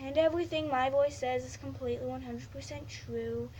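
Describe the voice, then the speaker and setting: A woman guiding a hypnosis induction, slow and soothing, repeating suggestions over and over. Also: high-pitched, steady tone, darkish tone, a little hiss or hum, low-quality recording.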